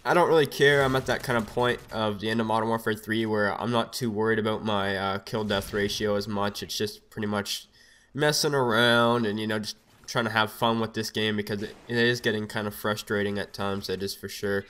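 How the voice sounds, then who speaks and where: A young man with a cold, his voice a bit raspy, talking loosely over his gameplay on a desk mic at home, rambling with lots of fillers. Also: fairly low pitch, fairly even intonation, a little fast, fairly bright tone, slight background noise. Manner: rambling, filler-heavy, laid-back. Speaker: gameplay commentator. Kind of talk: casual gameplay commentary. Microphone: USB desk mic.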